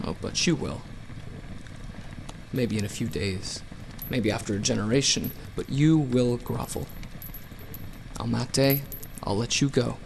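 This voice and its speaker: A man's voice: mid-range voice, unhurried, gravelly, long pauses, slight background noise, low-quality recording. A man playing a vengeful king of the gods in a radio play, taunting and theatrical. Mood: gloating, taunting. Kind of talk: audio drama acting.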